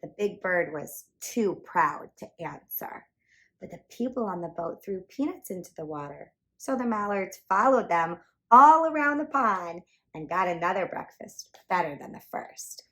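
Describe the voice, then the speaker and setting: A woman reading a children's picture book aloud as a storyteller, in an animated, emphatic way meant for young listeners. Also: on the low side, unhurried, low-quality recording.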